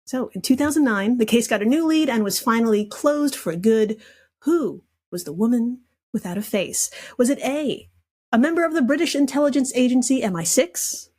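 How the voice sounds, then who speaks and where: A woman's voice: on the high side, expressive, moderate pace, a little mellow. A giggly, irreverent woman reading out a comedic quiz casually as a podcast guest.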